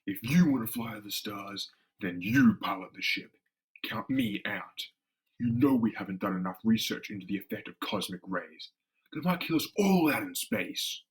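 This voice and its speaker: A man voicing a gruff, hot-headed pilot in a dramatic comic-book reading, blunt and combative. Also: medium pitch, big pitch swings, moderate pace, rough recording. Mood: angry, defiant.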